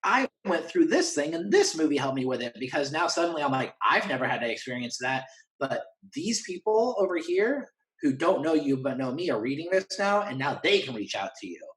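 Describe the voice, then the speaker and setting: A man's voice: fairly high pitch, big pitch swings, a little fast, fairly bright tone, low-quality recording. A man chatting casually as an interview guest, rambling enthusiastically and acting out little imagined exchanges. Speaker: interview guest, horror fan and writer. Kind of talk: casual conversational chat.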